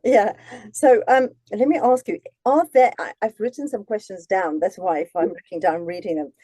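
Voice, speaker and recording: A woman's voice: medium pitch, moderate pace, warm tone, no room sound. A woman from Namibia hosting a video-call author interview, encouraging and enthusiastic as she asks her questions. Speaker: host interviewer.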